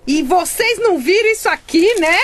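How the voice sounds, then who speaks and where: A woman's voice: extremely high pitch, expressive, moderate pace, a little hiss or hum. A woman playing a blunt, irreverent housemaid in a Brazilian radio comedy, with a brash colloquial manner and Brazilian accent. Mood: urgent. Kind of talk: comedic radio drama acting.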